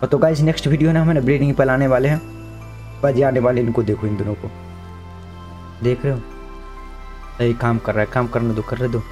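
A young man with a sore throat giving chatty, slangy let's-play commentary, now and then shouting at the game characters. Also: medium pitch, flat delivery, brisk, pauses often, soft background music. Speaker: gaming YouTuber.

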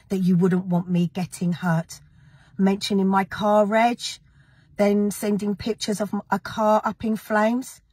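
A woman, her voice gravelly after an illness, talking indignantly and emphatically straight to camera in a vlog. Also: medium pitch, a little mellow, dry recording. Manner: indignant, emphatic, addressing critics directly. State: gravelly, recovering from illness, with a cough. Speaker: activist vlogger.

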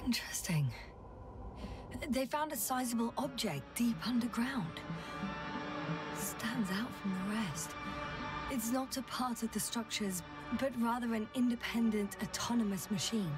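A woman voicing an observant, level-headed space scientist in a video game, reporting what she sees in a measured way. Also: a little high, distant mic, over music, lightly acted. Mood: thoughtful.

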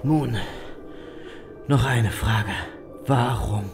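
A grim male reaper tells his own story in a horror radio play, performed by a man. His voice is medium in pitch, with a wry, dramatic edge. Loud music plays behind him. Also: drawn-out words, vocal fry. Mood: demanding. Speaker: narrator. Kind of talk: dramatic first-person audio drama narration.

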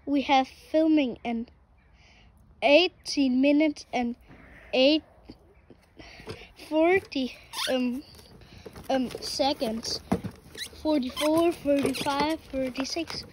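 Woman vlogger, high voice, slow unhurried delivery, playful and rambling, noisy outdoor audio. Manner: playful, rambling, stumbles over words. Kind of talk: casual vlog chat.

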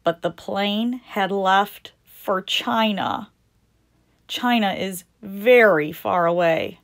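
A woman reading a picture book aloud as a storytime host, deliberate and expressive for young children. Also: medium pitch, vocal fry. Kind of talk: scripted picture-book narration read aloud.

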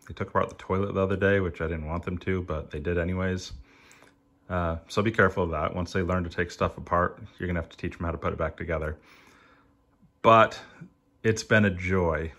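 Low-voiced middle-aged man reflecting. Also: creaky, a bit bright. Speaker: audio hobbyist reviewer. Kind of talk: reflective personal product review.

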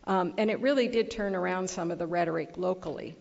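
A woman's voice: on the low side, quick pace, creaky, a little mellow. An older woman on a conference panel, answering thoughtfully with many fillers and restarts, speaking into a table microphone. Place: large conference hall. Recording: dull audio in a large reverberant hall.

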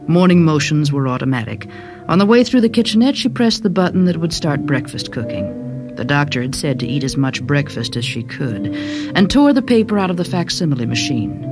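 A woman reading a short story as dramatic scripted narration. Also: on the low side. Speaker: story reader.

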